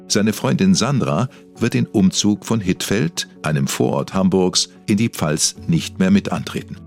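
A man presenting an investigative documentary, reading scripted narration. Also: sits low. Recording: music underneath. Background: background music.

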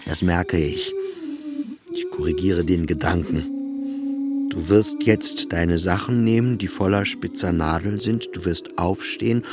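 Deep-voiced, anguished depressed psychiatric patient. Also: male voice, wildly swooping pitch, unhurried, heavy background noise, full character voice. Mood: weary, resigned. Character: anxious, self-loathing depressed male psychiatric patient, confessional. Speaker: narrator of an autobiographical radio play. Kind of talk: scripted first-person radio drama narration. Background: music behind the voice.

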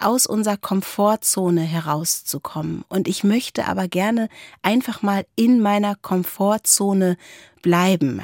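A woman's voice: medium pitch, a little mellow, dry recording. Woman podcast host, thoughtful, kinda searching for words, really dry studio-like sound. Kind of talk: reflective podcast conversation.

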